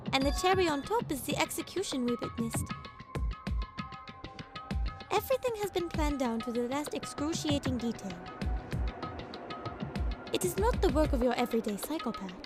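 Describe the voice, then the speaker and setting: A woman playing a composed, analytical teenage schoolgirl in an anime fandub, speaking gravely and formally. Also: high voice. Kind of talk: anime game fandub acting. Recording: music under the voice.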